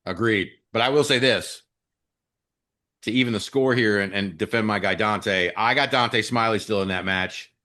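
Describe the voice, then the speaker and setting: A man's voice: lowish voice, normal speed, bright, forceful tone. A man co-hosting a wrestling podcast in casual, chummy chat with some mild swearing. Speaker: podcast co-host.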